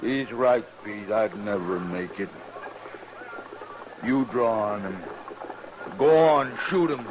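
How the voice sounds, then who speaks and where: An American actor playing a drunken, belligerent cowboy in a 1950s Western radio drama, surly and goading, with a slurred drawl. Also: male voice, on the low side, slow, pauses often, noisy background. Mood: goading, angry. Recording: dull old radio recording, crowd behind.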